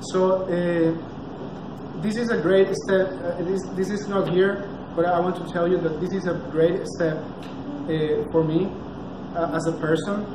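A Venezuelan man with a high, flat voice reads a prepared speech off a clipboard, pausing often on uh and restarting words. He stands in a small, echoey cafe, caught by a camera some distance off, with clear room noise behind him. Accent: Venezuelan Spanish. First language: Spanish. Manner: careful, halting, reads from a clipboard, frequent uh and restarts. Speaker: nonprofit founder giving a launch speech. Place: small cafe with big street windows, indoors. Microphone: far camera.

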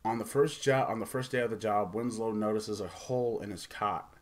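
Male review host, middle-aged, slightly deep voice, informal delivery with halting, stumbling phrasing. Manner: halting, stumbles over words. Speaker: host of a movie review show. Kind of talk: casual off-the-cuff review commentary.

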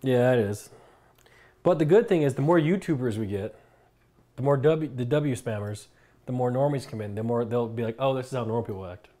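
A man's voice: mid-range voice, brisk, dark tone. A young American man in casual, emphatic conversation, explaining his view and swearing freely in a home kitchen. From United States. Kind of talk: casual chat.

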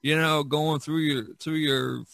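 A man's voice: fairly high pitch, steady tone, a little slow, vocal fry, fairly bright tone, no room sound. Man in his sixties, podcast host, dry close sound, casual advice, rambling and hesitant. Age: 60s. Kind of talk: casual advisory monologue.

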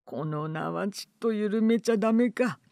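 A woman's voice: medium pitch, measured pace, vocal fry, mellow, no echo, studio quality. A woman voicing a meek, complaining male sidekick in a storybook reading, with plaintive rural speech. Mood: pleading.

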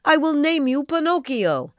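A woman's voice: sits high, sing-song, normal speed, a bit bright, no room sound, clean studio sound, pitched above the performer's own voice. Woman playing an earnest old carpenter, heartfelt, storybook. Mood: yearning. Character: kind old carpenter, longing father.

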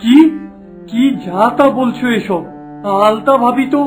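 A young cartoon villager with a high-pitched voice. He is kindly but bewildered, speaking in an anxious, questioning way over faint music. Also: unhurried. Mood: shocked, disbelieving. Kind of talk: cartoon voice acting.

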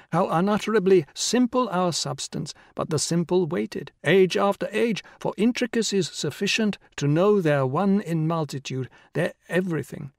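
A man voicing a boy actor who declaims verse in a story, solemn and measured. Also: high-pitched, no room sound, clean studio sound, voice pushed up.

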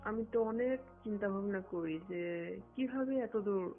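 A woman from Bangladesh speaks in a private phone conversation at a middling pitch. Her voice comes through a thin, muffled call recording, with music underneath. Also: normal speed. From Satkhira, Bangladesh. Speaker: phone caller, government employee.

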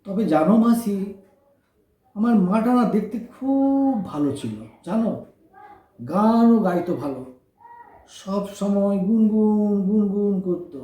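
High-pitched cheeky Bengali garage lad. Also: male voice, measured pace, long pauses, distant mic. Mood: offhand, wistful. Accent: West Bengal colloquial Bengali. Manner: teasing, slangy, animated banter. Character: cheeky, kind-hearted orphaned young garage boy. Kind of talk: Bengali audio drama acting. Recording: echoey room, off the microphone.

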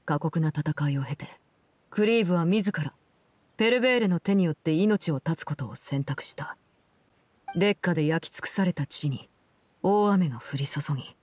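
An actress playing a cold, commanding woman in an anime game, speaking in a measured, formal, detached way. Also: on the low side, darkish tone, no room sound. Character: cold, composed, commanding female Fatui Harbinger in her prime. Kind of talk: Japanese anime game voice acting, solemn storytelling.

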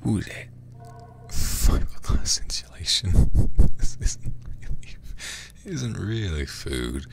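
A man streaming a game in ASMR style, reading aloud and commenting in a hushed, intimate way close to the microphone. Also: low voice, very animated, slight background noise. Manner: hushed, slow and intimate delivery with breathy whispers.

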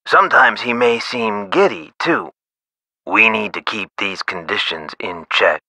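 Hospital nurse, eccentric, theatrical, very sharp bright tone, dry close sound, video game dialogue. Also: male voice, fairly low pitch, a little slow, dry recording, heavily acted. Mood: earnest, explanatory. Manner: theatrical, whimsical, swooping emphasis. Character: chatty, eccentric, mellow-minded male hospital nurse. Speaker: nurse character in an adventure game.

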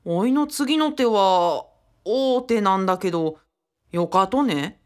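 A woman's voice: medium pitch, expressive, takes it slowly. A woman playing a friendly, easily flustered young man in a radio drama, muttering and exclaiming with a Kyushu Japanese accent. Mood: suspicious. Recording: music behind the voice. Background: music.

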